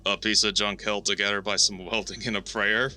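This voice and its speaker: A man playing a gruff, blunt chief engineer and former boxer in an audio drama. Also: fairly low pitch, little pitch movement, fairly quick, bright, forceful tone, faint music behind. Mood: matter-of-fact.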